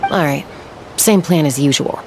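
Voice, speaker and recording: A woman's voice: low voice, unhurried, darkish tone, slight background noise. A woman playing a cool, protective young tribeswoman warrior in a video game, confident and matter-of-fact. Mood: businesslike. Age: young adult. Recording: music under the voice.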